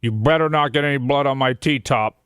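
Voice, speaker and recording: A man's voice: mid-range voice, a little fast, a bit bright, smooth, dry recording. Wisecracking man, dry studio sound. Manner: wisecracking, sarcastic. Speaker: radio show sidekick. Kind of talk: joking banter. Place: radio studio. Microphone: close studio microphone.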